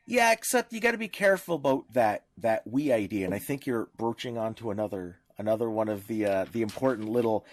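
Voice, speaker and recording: A man's voice: on the low side, expressive, fairly quick, few pauses, no echo. A man co-hosting a podcast, giving opinions in casual discussion with emphatic, explanatory short bursts. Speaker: podcast co-host.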